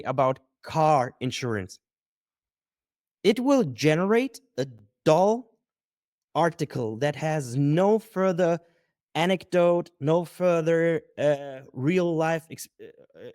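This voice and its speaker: A man answering as a digital marketing expert on a podcast. He explains in a measured way, working through examples with a few "uh" pauses. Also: fairly high pitch, a little mellow, no echo, clean studio sound.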